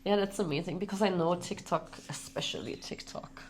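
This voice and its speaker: A woman's voice: lowish voice, fairly quick, darkish tone, slight background noise. A woman hosting a casual interview, chatty, often finishing her guest's sentences and saying "like" a lot. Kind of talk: casual conversation.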